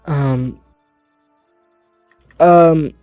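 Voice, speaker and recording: A man playing a tabletop game over a voice call, talking casually and haltingly. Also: a little high, fairly even intonation, mellow. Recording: dull, dry voice-call audio. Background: faint music.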